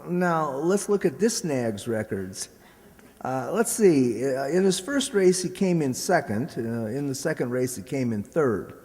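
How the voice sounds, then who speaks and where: A man acts out a scheming small-time American gambler in a radio comedy. He talks slangily and unhurriedly in a highish, mellow voice. Long hall reverb surrounds him. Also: expressive, natural voice. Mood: sardonic. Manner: slangy wise guy, drawn-out delivery. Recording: echoey hall recording.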